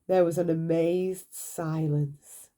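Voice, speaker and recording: A woman storyteller reads to young children at bedtime. Her voice is slightly low and very mellow, and she takes her time with expressive, deliberate phrasing. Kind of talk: read-aloud children's bedtime story narration.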